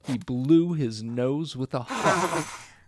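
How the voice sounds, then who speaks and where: A man narrating a children's picture book aloud in an expressive storytelling style. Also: mid-range voice, slow, no echo.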